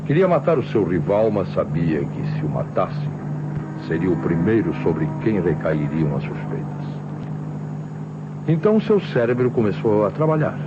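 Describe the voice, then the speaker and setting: An actor playing a shrewd, self-assured police inspector in an old Brazilian radio mystery, speaking emphatically and with some pomp in a Brazilian accent. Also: male voice, deep voice, very noisy, full character voice. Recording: muffled old radio recording, music behind.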